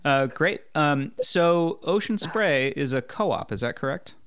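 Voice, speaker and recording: A man hosting a podcast, reading a measured intro and then asking questions with some hesitation. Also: a little high, expressive, fairly bright tone. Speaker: podcast host. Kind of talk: scripted intro then casual interview.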